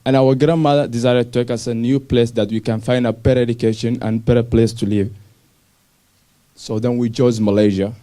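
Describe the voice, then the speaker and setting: A young man from Somalia telling his personal story to an audience in simple, halting English with restarts. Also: mid-range voice, mellow. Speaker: speaker sharing his refugee story. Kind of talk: personal story talk on stage.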